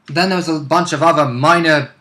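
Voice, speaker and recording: A man co-hosts an informal conversation about tabletop gaming. His voice sits a little high and has a bright, sharp edge. The recording is noticeably echoey, like an untreated room. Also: brisk. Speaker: co-host and tabletop game player. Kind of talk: casual chat about game rules. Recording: roomy, echoing sound.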